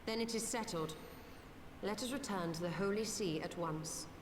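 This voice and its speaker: An actress playing a composed, resolute female mage scholar in a fantasy game, speaking in measured, formal archaic phrases. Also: mid-range voice, reverberant, big-room sound, far from the mic, noisy background, close to an everyday voice. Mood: thoughtful. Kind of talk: scripted fantasy game acting.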